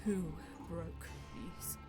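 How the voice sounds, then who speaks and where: A woman playing a solemn elf seer, narrating an audio drama in intense, poetic first person over music. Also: drawn-out words. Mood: wary. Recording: music behind the voice.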